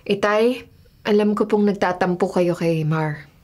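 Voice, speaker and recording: A woman playing an anxious, ailing young mother in a Filipino radio drama, gentle and plaintive. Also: mid-range voice, measured pace, natural voice. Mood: puzzled, gentle.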